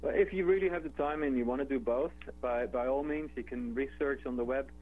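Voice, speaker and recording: A man from the Netherlands with a Dutch accent, speaking as a guest in a radio interview in a relaxed, conversational way with fillers and restarts. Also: mid-range voice, a little fast. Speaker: guest, travel company executive. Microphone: telephone. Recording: tinny phone line with faint hum.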